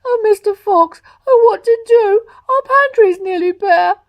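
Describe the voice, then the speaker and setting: A woman voicing an anxious, excitable fox wife in a storybook, exclaiming dramatically. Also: very high voice, unhurried, dry recording, voice pushed up. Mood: tearful, dismayed.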